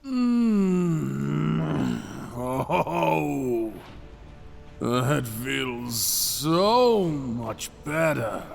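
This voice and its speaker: A man's voice: medium pitch, creaky, exaggerated voice. A man voicing a possessive, mad dragon king in an ASMR fantasy roleplay, theatrical and doting, purring endearments and dropping into whispers. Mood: relieved, adoring.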